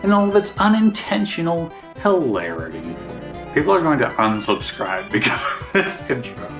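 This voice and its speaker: A woman's voice: deep voice, very animated, normal speed. A woman hosting a show, reading an intro in a wry, mock-serious way with joking asides. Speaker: podcast host. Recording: music playing behind the voice. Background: plucked guitar music.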